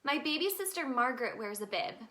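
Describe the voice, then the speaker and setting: A woman's voice: sits high, sing-song, fairly quick, sharp tone, exaggerated voice. A woman voicing a curious, eager little boy tiger from a children's storybook in a childlike, wondering way. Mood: confused.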